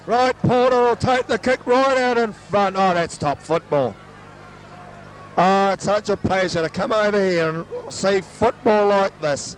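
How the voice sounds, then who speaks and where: A hoarse man, excitedly calling a local rugby league game as play-by-play commentator. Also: high voice, a little slow, rough recording. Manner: excitable, voice soaring on breaks. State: hoarse, losing his voice. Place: outdoor grandstand at a suburban oval. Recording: old amateur sports recording with crowd noise. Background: crowd noise.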